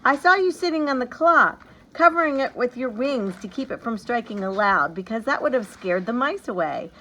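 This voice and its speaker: A woman voicing a sick little girl who cries out high and loud. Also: animated, moderate pace. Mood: accusing.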